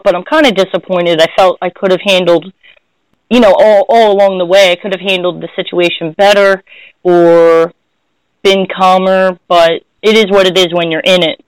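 A woman's voice: medium pitch, clean tone, dry recording, low-quality recording. Reflective woman talk host. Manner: thoughtful, searching, self-correcting. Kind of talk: reflective casual conversation.

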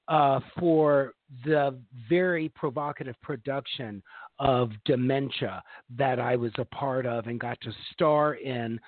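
A man co-hosting a casual talk show, telling long animated stories about his stage work. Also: a little high, no room sound. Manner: animated, long-winded storytelling. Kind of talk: casual chat. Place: Los Angeles. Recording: tinny phone-like line, dry.

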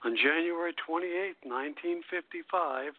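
A man's voice: a little high, normal speed, dry recording. Man hosting radio over a phone-like line. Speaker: radio show host. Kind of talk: scripted announcements and narration on an internet radio show. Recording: phone-like narrow band, faint music.

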